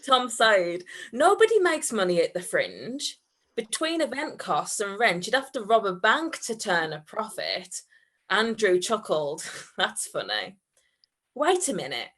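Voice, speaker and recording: A woman's voice: fairly high pitch, a bit bright. A woman giving a live reading of comic fiction, giggly and prone to breaking into laughter. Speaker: reader at a comedy event.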